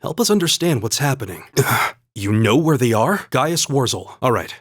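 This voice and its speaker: Casting: young male student fighter for a video game, calm and courteous, mid-pitched with lively intonation, dry close sound. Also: slightly rough, no echo. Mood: calm, earnest. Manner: measured, polite, earnest. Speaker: video game character voice actor.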